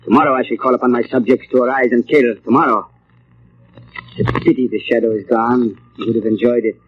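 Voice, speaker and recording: An actor playing a pompous, sinister mind reader who craves power, in the theatrical style of an old radio drama. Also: male voice, medium pitch, fairly even intonation, fast, breathy edge, natural voice. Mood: haughty, scornful. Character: imperious, sinister male mind reader, megalomaniac turning panicked. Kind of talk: 1940s radio drama acting. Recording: muffled old radio recording, faint noise.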